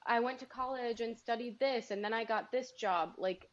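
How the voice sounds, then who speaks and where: A woman's voice: on the high side, normal speed, highs cut off. A woman co-hosting a podcast, talking casually and laughing easily. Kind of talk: casual chat.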